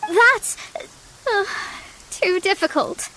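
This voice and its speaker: A woman's voice: very high voice, slow pace, pushed and bright, a little hiss or hum, heavily acted, rough recording. A woman playing a proud, melodramatic young astrologer with haughty, formal phrasing, in an anime-style video game. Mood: distressed. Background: music.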